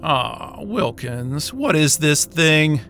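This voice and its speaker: An actor playing an irreverent, wisecracking astrophysicist in a sci-fi audio drama, with a sarcastic, informal and exasperated way of talking. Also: male voice, on the high side, very animated, slow, fairly bright tone, faint music behind, full character voice. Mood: wary, puzzled. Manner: sarcastic, informal, hesitant muttering and outbursts.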